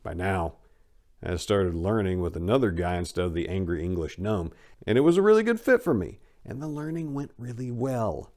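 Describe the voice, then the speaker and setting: Male memoir narrator with a fairly low voice, dramatic reading style, punching key words and letting asides fall away. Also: animated, normal speed, a little mellow.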